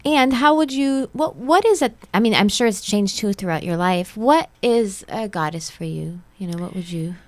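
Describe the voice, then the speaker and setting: A woman's voice: medium pitch, moderate pace, few pauses, dry recording. A woman hosting a radio talk show, affirming and encouraging her guest. Her voice sits mid-range, and the sound is dry and close, with no room around it. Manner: encouraging, affirming, fills in with yeah. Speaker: host. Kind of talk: casual chat. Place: radio studio.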